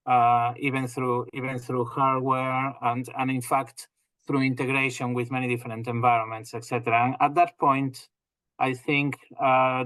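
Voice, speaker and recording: A man from northwest Spain with a Spanish accent, a company president explaining his business in a measured way, with frequent fillers and restarts. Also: medium pitch, a bit bright, no echo. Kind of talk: business interview over video call. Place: home or office in England.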